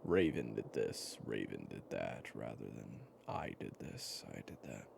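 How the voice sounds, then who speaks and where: A man playing a weary but gentle storyteller with a regretted past, talking casually and haltingly to the listener in an intimate audio roleplay. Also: a bass voice, sing-song, creaky, noisy background, exaggerated voice. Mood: subdued, reflective. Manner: casual, halting, with sighs and pauses.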